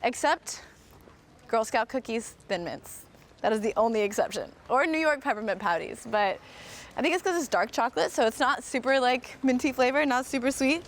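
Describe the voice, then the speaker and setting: A woman's voice: on the high side, expressive, normal speed, a little hiss or hum. Chatty upbeat American woman, outdoors. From United States. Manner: chatty and upbeat, thinks aloud with frequent fillers. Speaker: host. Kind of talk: live-streamed walking tour, chatting with viewers. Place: outdoors, walking around a historic site.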